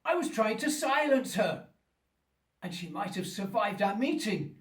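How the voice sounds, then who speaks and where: A lonely brooding monster in a radio drama, really slow n grand, echoey room. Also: male voice, high-pitched, sing-song. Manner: slow, grandiloquent, pleading and menacing delivery. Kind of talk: theatrical radio play acting. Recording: roomy echo, distant from the mic.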